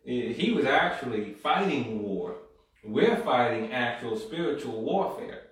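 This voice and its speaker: A man's voice: medium pitch, expressive, measured pace, a bit bright. Echoey fervent male preacher. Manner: builds to fervent, rising exhortation; frequent amens and call-and-response. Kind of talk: sermon with Bible reading. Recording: very echoey room from far off.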